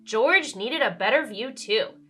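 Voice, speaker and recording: A woman reads a storybook to young children with dramatic emphasis. Her voice is high and bright and she takes her time, over faint music. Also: lively intonation, measured pace. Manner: dramatic emphasis, asks the listener questions. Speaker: children's read-aloud storyteller.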